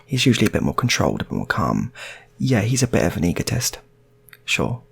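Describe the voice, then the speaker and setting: A man's voice: fairly low pitch, huge pitch swings, fairly quick, vocal fry, husky. A man telling an improvised story in a casual, rambling way, often repeating his phrases. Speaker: storyteller, channel host.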